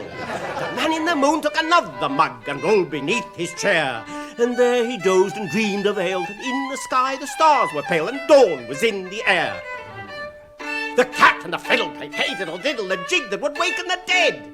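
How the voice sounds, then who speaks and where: An actor playing a nervous, courteous hobbit gentleman in a radio drama, with an English accent and hesitant, polite, formal phrasing. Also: high-pitched, big pitch swings, moderate pace, crowd noise. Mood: merry singing. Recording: music behind the voice.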